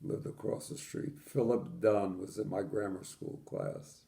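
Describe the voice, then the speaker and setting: A man's voice: low-pitched, fairly even intonation, a little slow, creaky, warm tone. An older man from Poland, telling his childhood memories in an oral history interview with dry, matter-of-fact humor.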